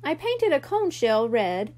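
A woman's voice: high-pitched, very animated, measured pace, dark tone. A woman voicing a caring, conscientious girl kitten-mermaid in a children's storybook, earnest and slightly worried. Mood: kind, earnest.

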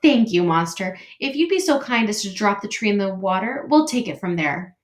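Woman voicing a brisk, no-nonsense beaver wife. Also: medium pitch, very animated, lightly acted. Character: brisk practical female beaver. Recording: roomy echo.

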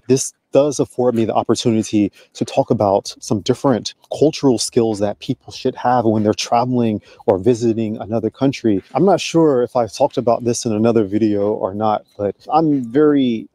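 A middle-aged man walking and vlogging outdoors in a park, speaking to a handheld camera in a measured, deliberate way. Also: mid-range voice, warm tone, no echo. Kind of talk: vlog commentary.

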